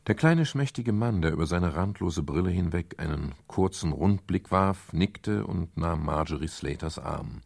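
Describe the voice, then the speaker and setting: Private detective, gravelly, husky, low-pitched, world-worn, radio crime drama. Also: male voice, expressive, unhurried, hardly any pauses, a little mellow, full character voice. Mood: weary narration. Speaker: actor playing detective and narrator. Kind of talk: German radio crime drama acting with first-person narration.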